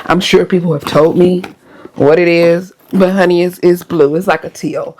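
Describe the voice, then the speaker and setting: Hoarse chatty woman vlogger. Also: fairly low pitch, fairly even intonation, moderate pace, a little mellow, a little hiss or hum, low-quality recording. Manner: chatty, talks straight to viewers. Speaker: lifestyle vlogger. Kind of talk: casual chat to viewers.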